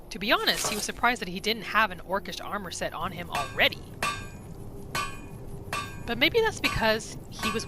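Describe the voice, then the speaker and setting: Woman, gaming narrator, casual storytelling, expressive intonation, mid pitch, soft game music underneath. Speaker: gaming YouTuber. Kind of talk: narrated roleplay game commentary.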